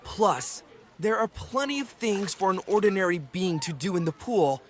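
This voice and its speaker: A woman's voice: mid-range voice, animated, moderate pace, lightly acted. A woman voicing an anxious, eager young cartoon bean in an exaggerated, hesitant children's read-aloud style. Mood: wistful, hopeful.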